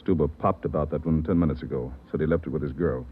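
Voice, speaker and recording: Brief: gruff police chief, very deep and rapid, tinny old radio with faint hiss. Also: male voice, steady tone. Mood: brisk. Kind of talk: 1940s radio drama acting.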